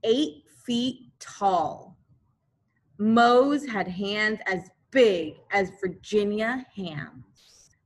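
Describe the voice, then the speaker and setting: Woman, schoolteacher, medium pitch, unhurried, expressive children's read-aloud, explanatory asides. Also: rough recording. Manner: expressive, deliberate, explains pictures to young listeners. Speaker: elementary school teacher.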